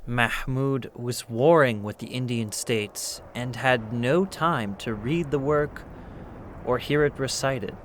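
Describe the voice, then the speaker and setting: A man giving a scripted, dramatic narration of a historical biography in a literary storytelling manner. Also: mid-range voice.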